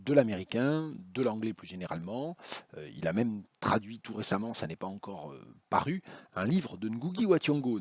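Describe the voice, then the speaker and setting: A man with a husky, rather low voice chats about books, often pausing on fillers and starting phrases over. The sound is dry and close, with no room echo. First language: French. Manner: hesitant, many fillers and restarts. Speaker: university academic, book vlogger. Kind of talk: casual spoken book vlog.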